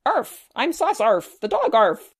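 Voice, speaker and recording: A woman voicing an eager, animated young boy in a children's storybook, insistent and full of exclamations. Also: fairly high pitch, slow pace, slightly rough, voice pushed up, exaggerated voice. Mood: playful. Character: excitable young boy eager to share a miracle.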